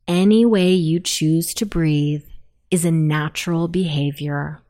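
Female sleep meditation narrator, slightly low pitch, very unhurried pacing, soothing and deliberate delivery. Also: steady tone, dark tone. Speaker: meditation guide.

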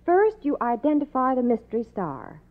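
A woman's voice: sits high, sing-song, moderate pace. An American woman presenting a scripted 1950s radio commercial with polished enthusiasm. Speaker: commercial announcer. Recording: tinny vintage radio recording.

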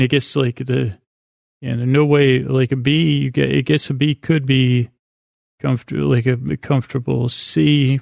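A man hosting a sleep podcast in a creaky, gravelly voice, meandering through a bedtime monologue with halting pauses and false starts. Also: medium pitch, darkish tone, no echo, clean studio sound.

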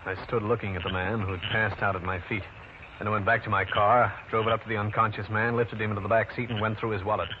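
An actor playing a dry, self-assured private detective in an old-time radio detective drama. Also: male voice, on the low side, little pitch movement, quick pace, natural voice. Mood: wry narrating. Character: wry, hard-boiled male New York private detective. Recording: dull, noisy vintage radio recording.

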